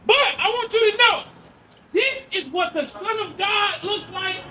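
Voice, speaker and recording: A man preaching loudly outdoors on a city street. He quizzes the crowd with questions and then answers them himself. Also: very high-pitched, measured pace. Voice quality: loud and projecting, near yelling. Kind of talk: loud open-air street preaching. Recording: far from the mic with street noise.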